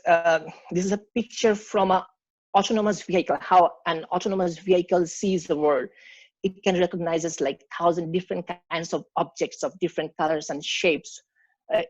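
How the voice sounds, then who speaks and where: A young man with a Bangladeshi accent, a native Bangla speaker, giving an academic presentation in a hesitant, halting way as a PhD candidate. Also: a little high, no room sound. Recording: muffled video-call audio.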